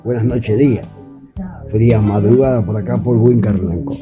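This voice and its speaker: A man from Córdoba, Argentina, leaves a friendly, rambling voice message for a radio host. His voice sits a little low. Clear music plays behind him. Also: normal speed. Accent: Córdoba Argentine Spanish. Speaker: caller.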